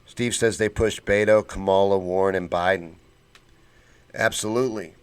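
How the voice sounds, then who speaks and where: Fired-up low-voiced man ranting on a livestream. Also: fairly even intonation, moderate pace, clear, resonant tone. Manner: blunt and fired-up, folksy slang. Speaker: political livestream host. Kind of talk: casual livestream commentary reading chat.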